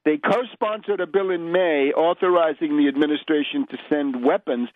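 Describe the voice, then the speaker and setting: A man delivering an unscripted political radio monologue in a scornful, emphatic, opinionated manner, with halting, deliberate phrasing. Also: on the high side, dry recording. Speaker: political radio commentator and host. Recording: dull, lo-fi radio audio.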